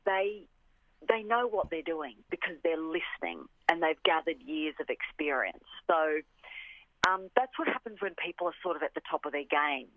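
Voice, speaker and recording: A woman's voice: sits low, lively intonation, normal speed, no room sound. A woman speaking enthusiastically and emphatically as a radio interview guest, often repeating phrases for emphasis. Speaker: orchestra representative, interview guest. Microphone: telephone. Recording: tinny, band-limited phone line.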